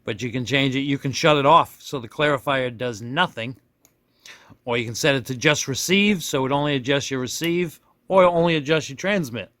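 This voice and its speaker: A man's voice: mid-range voice, normal speed, fairly bright tone, dry recording. Folksy man giving a casual, dry-sounding demo. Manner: folksy, self-deprecating, rambling with mid-thought corrections. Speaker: product reviewer demonstrating a radio. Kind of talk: casual hands-on demonstration, unscripted.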